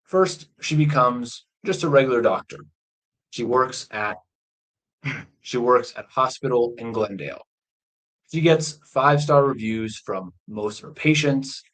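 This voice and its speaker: A man teaches English by telling a true story, speaking slowly and plainly. His pitch sits in the middle range for a man. He pauses to explain words during a live stream. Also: lively intonation, fairly bright tone, poor audio. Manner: slow, clear explanations of key words. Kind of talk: slow storytelling lesson for learners. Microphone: livestream microphone.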